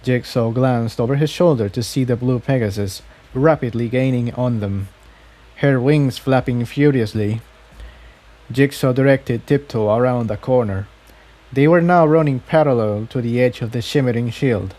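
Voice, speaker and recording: A man's voice: medium pitch, moderate pace, warm tone, rough recording. A man narrating a scripted story aloud, occasionally stumbling and repeating phrases as he reads. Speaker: fan fiction reader.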